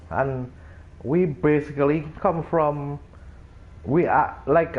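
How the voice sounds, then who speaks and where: Streamer, Trinidadian man, higher voice, chatty and rambling, muffled lo-fi sound. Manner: rambling, animated explaining with many restarts. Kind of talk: casual chat while gaming.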